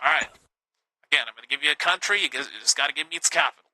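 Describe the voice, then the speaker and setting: A man hosting a live game show with an upbeat, teasing manner, a little hoarse. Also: on the high side, animated, racing, sharp tone, no echo, rough recording. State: slightly hoarse.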